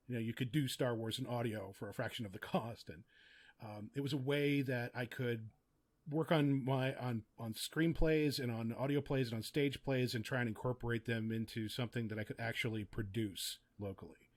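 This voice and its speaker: Man, middle-aged, podcast guest, medium pitch, thoughtful, articulate, conversational. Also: fairly even intonation, moderate pace. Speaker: podcast guest, audio drama writer and producer. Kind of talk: casual, reflective interview talk.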